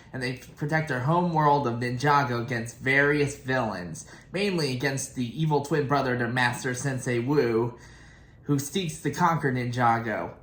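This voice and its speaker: A man's voice: mid-range voice, a bit bright. Young man rambling casually in an echoey room. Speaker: reaction video host. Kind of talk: casual rambling fan commentary.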